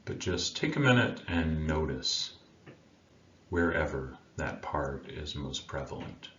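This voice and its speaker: A man with a remarkably deep voice leads a guided meditation. He speaks slowly and soothingly, leaving long pauses between gentle phrases. The sound is roomy and a little muffled. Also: very animated. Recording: roomy, dull sound with faint background noise.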